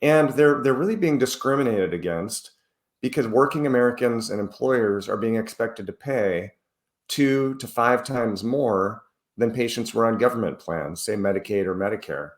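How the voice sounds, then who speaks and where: A man's voice: medium pitch, normal speed, echoey room. A man recovering from the flu, talking earnestly as an interview guest and explaining at length with frequent restarts. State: recovering from flu, using a cough drop. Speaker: podcast guest, author. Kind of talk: casual interview talk.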